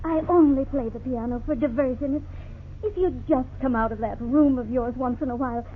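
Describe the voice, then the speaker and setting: An actress playing a gentle, meek, pleading wife and mother in a 1950s radio drama. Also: high-pitched, fairly quick, lightly acted. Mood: pleading, hurt. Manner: pleading, hesitant, submissive. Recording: scratchy, tinny 1950s radio recording.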